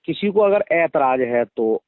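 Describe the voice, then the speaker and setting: A man with a somewhat high voice talks over a thin, dry telephone line. His accent is from western Uttar Pradesh, and he argues defensively and assertively, restating his position as he goes. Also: expressive, measured pace, no echo. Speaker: religious storyteller. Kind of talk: argumentative phone conversation. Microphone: phone line. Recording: tinny phone call recording.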